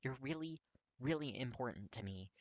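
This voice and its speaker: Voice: mid-range voice, animated, normal speed, dry recording, lo-fi sound, voice pushed up. A performer voicing a desperate, earnest teenage boy who stammers and pleads with a breaking, tearful voice. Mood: tearful pleading. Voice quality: raspy.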